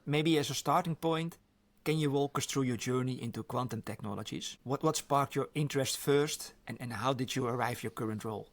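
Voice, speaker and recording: Gravelly-voiced man hosting. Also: medium pitch. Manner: halting, repeats words, non-native phrasing. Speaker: podcast host. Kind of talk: podcast host introduction and questions.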